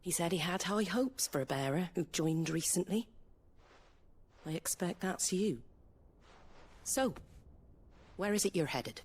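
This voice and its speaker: Blunt English innkeeper, slight background noise. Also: female voice, fairly low pitch, lively intonation, measured pace, pauses often, darkish tone. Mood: apologetic, frank. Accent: English, working-class regional. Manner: blunt, folksy, wary. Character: wary, plain-spoken innkeeper landlady, no-nonsense but helpful. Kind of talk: dramatic video game cutscene acting.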